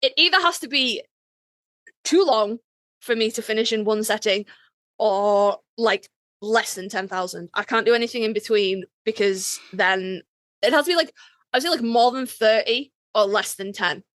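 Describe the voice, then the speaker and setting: Bright, higher-voiced woman, casual podcast host. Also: moderate pace, dry recording. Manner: self-mocking, sweary, dramatic retelling. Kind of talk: casual chat.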